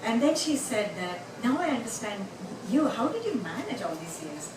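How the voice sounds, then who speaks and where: A woman's voice: fairly high pitch, big pitch swings, moderate pace. A middle-aged woman with an Indian accent, telling a personal story on stage in a rambling, chatty way with many fillers. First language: Tamil. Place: bar venue. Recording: echoey venue, mic from a distance, noisy.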